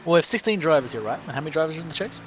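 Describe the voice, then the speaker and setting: A man's voice: a little high, expressive, rapid-fire, slight background noise. A man giving chatty, informal co-commentary on sim racing.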